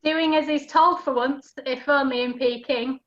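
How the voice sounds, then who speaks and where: Bright, high-pitched woman narrating in rhyme. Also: steady tone, moderate pace, slight background noise. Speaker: pantomime narrator. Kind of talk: scripted rhyming narration. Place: video call. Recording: dull lo-fi video call audio.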